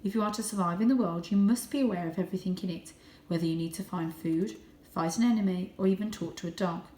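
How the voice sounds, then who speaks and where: A woman reads as an old male cat, a wise ancestor in a children's story. He is stern and instructive, abrupt but measured. The voice sits in a roomy, echoing space. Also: lowish voice, warm tone, natural voice. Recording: roomy, echoing indoor sound.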